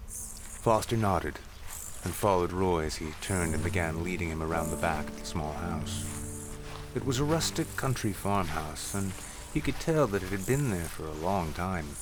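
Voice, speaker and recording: Deep-voiced male story narrator. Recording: clear ambient noise behind.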